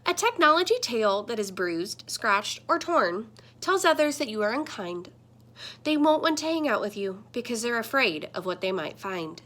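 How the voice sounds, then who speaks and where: A woman's voice: a little high, animated, moderate pace, a bit bright. A woman voicing a talking computer screen in a children's picture book, a protective mentor who admonishes and instructs emphatically. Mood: stern warning.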